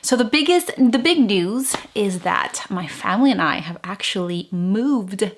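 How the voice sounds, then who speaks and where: A woman's voice: mid-range voice, expressive, unhurried, slight background noise. A woman in her thirties from Venezuela talks casually to her viewers, chatty and full of fillers and restarts. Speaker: vlogger. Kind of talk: casual chat to camera.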